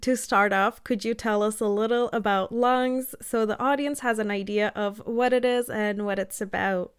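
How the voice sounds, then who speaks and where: A woman hosts a casual interview. Her voice is smooth, clear and a little high, captured dry with no room echo. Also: fairly even intonation, moderate pace.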